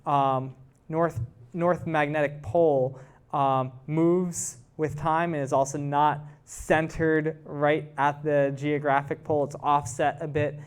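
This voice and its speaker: A man's voice: on the high side, little pitch movement, slow pace, clean tone. A man, a guest researcher, answering audience questions in an informal, explanatory way and thinking aloud. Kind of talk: informal scientific Q&A after a talk.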